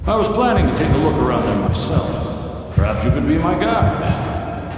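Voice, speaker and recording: A man's voice: fairly high pitch, big pitch swings, normal speed. An actor playing a proud, mocking ancient male spectre in a video game. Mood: taunting. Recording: heavy echo, long reverb, noisy.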